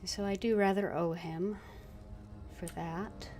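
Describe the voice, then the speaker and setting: A woman giving casual, unscripted commentary as she streams a video game, hesitant and thinking aloud with long pauses. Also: mid-range voice, fairly even intonation, dark tone, slight background noise.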